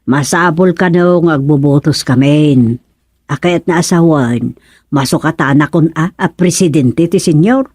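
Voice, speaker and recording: A woman's voice: low voice, unhurried, a little mellow, husky, no echo, clean studio sound. A woman with an Ilocano accent acting a gossipy, insistent villager in a radio comedy-drama. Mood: explaining.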